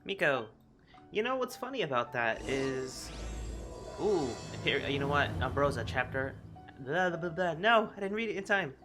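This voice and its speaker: A man's voice: fairly high pitch, very animated, moderate pace, music in the background. A man giving casual, offhand video game playthrough commentary with a wry manner. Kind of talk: casual playthrough commentary, reading dialogue along.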